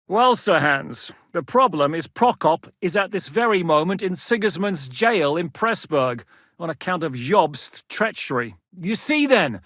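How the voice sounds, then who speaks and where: A gruff, wary warlord commander. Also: male voice, high voice, a bit bright, no echo, heavily acted. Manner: blunt, weary, curses freely. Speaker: game character voice lines. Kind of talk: video game voice acting. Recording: dry studio voice recording.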